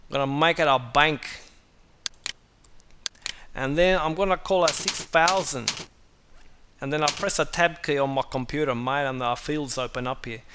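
A man with an Australian accent walks through a software task in a casual, chatty way, saying "mate" constantly and filling pauses with "uh". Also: fairly high pitch, noisy background. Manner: chatty, says "mate" constantly, many "uh" fillers. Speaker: software tutorial presenter. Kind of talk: casual screen-recording walkthrough.

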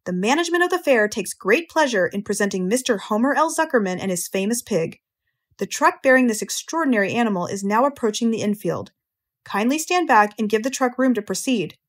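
Woman acting as fairground announcer, pompous, grandiose, formal proclamations, storybook character, slightly raised pitch. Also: expressive, fairly quick. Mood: pompous, proud. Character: pompous fairground announcer booming over a loudspeaker.

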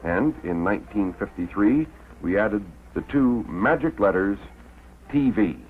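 An American man narrating a 1950s promotional documentary in a measured, deliberate way with dramatic pauses. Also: lowish voice, lively intonation, a little hiss or hum. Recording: tinny old film soundtrack, faint music.

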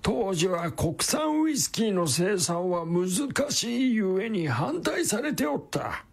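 An actor playing a kindly, chatty old distillery worker in a radio drama, with a husky, creaky voice and folksy old-fashioned speech. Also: male voice, sits high, big pitch swings, slow, full character voice. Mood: proud, animated. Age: older. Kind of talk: Japanese radio drama acting.

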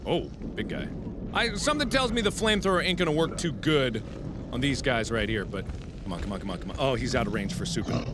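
A man hosting a let's play, commenting on gameplay with sudden loud outbursts and mumbled asides. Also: medium pitch, very animated, fast, background noise. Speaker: gaming video host. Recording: game music behind the voice. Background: game music.

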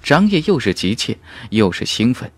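A man reading a fantasy novel as an audiobook narrator, in a dramatic, measured and emphatic storytelling manner. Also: mid-range voice, very animated, no room sound.

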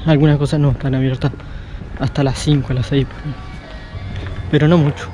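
A man's voice: mid-range voice, flat delivery, fairly quick, mellow, soft background music, poor audio. A man in his thirties with a Uruguayan accent, talking casually to viewers while he walks around town and through shops. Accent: Uruguayan Spanish. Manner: chatty, addresses viewers as "gente". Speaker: travel vlogger. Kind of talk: casual walking vlog. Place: city streets and inside shops. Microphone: action camera held close.

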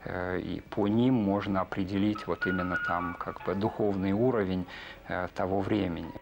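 Middle-aged male interviewee, low gravelly register, hesitant reflective pacing, music underneath. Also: fairly even intonation, normal speed. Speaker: animation film artist and director interviewed. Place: indoor room with film set behind, seated.

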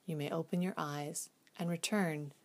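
A woman with a slightly low voice guides a calming visualization. She speaks gently and soothingly, in a hushed, unhurried way suited to meditation. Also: a little hiss or hum.

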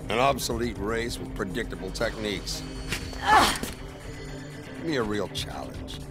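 An arrogant mercenary taunting his opponent in a smug, lowish voice. Loud game music fills the background. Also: unhurried. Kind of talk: video game cutscene acting.

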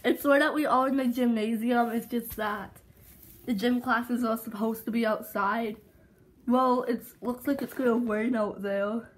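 Woman voicing a rambling upbeat male teacher, phone-recorded plush toy video, slightly high. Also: fairly even intonation, measured pace, slight background noise, close to an everyday voice, rough recording. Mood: cheerful. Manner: rambling, chatty with restarts.

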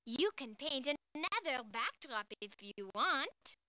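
A cheerful little schoolgirl from a cartoon game. She speaks slowly and sweetly in a high, lilting voice. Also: very animated, sharp tone, dry recording, exaggerated voice, poor audio. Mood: cheerful, helpful. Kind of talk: children's cartoon game acting.

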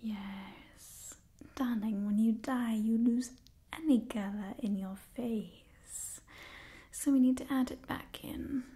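A young woman playing a refined, doting vampire clan leader in a whispered ASMR roleplay, speaking in a genteel, gently imperious manner. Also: on the high side, steady tone, takes it slowly. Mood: instructive, soothing. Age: young adult. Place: small indoor room with wooden backdrop.

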